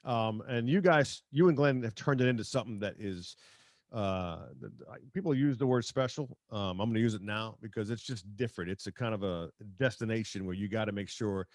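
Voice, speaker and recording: A man's voice: on the low side, fairly quick, dry recording, clean studio sound. A man hosting a podcast in casual, slangy sports chat over a remote video call. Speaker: podcast host.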